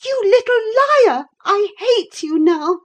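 A woman voicing a spirited, outspoken young lady in an audiobook, pert, emphatic and quick to anger. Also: high-pitched, expressive, mellow, pitched above the performer's own voice. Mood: indignant, angry. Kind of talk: dramatised audiobook reading of a classic novel.

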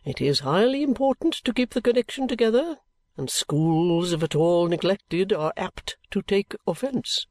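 A woman voicing a pompous, self-satisfied old gentleman in an audiobook, with grand, drawn-out phrasing. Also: mid-range voice, lively intonation, no echo, natural voice. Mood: stately, condescending. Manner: grandiose, ponderous, drawn-out delivery. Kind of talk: audiobook character acting.

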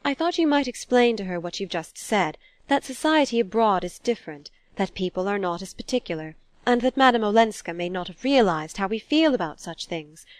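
A woman's voice: medium pitch, expressive, brisk, hardly any pauses, no echo, natural voice. Lady doing a genteel older society mother, prim and indignant, dry close sound, storybook style. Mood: coaxing.

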